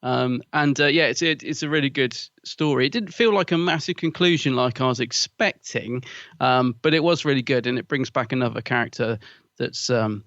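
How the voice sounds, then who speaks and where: A man's voice: medium pitch, moderate pace, a bit bright, no echo, muffled recording. A man co-hosting a podcast in casual chat, chatty and rambling with fillers, laughing often. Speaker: podcast co-host.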